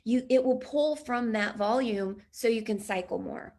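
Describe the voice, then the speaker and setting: A woman in her thirties leading an online business training, chatty and upbeat, with a slightly raspy voice. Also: on the high side, unhurried, a little mellow. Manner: upbeat, chatty, frequent laughs and throat clearing. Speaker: business coach.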